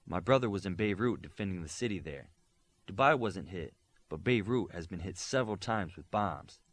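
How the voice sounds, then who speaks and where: A man's voice: low voice, expressive, normal speed. A man voicing a proud, argumentative young male superhero in an audiobook, speaking emphatically and confrontationally. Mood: worried.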